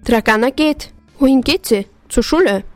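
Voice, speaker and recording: A woman's voice: high-pitched, animated, moderate pace, darkish tone, faint music behind. Young woman presenting a radio feature. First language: Turkish and Kurdish. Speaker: student presenter.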